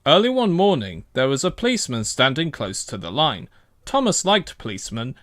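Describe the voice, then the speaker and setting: A man's voice: medium pitch, very animated, fairly quick, fairly bright tone, dry recording, clean studio sound. A man narrating a children's story from a script as a storybook reader.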